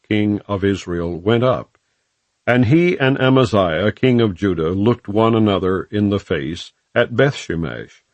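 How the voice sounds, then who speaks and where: A low male voice reads scripture as an audiobook narrator. His delivery is solemn and formal, with measured phrasing and a lively rise and fall in pitch. The sound is dry and close, free of room echo.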